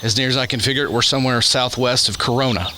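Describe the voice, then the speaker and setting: A man playing a steady, reassuring scientist in a radio drama, measured and explanatory in his delivery. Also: mid-range voice, a little hiss or hum, natural voice. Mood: calm, easy.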